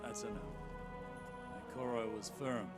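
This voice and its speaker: A man's voice: medium pitch, steady tone, normal speed, over music, natural voice. An actor playing a courteous, authoritative statesman who speaks in a formal, diplomatic way. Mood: firm, tense.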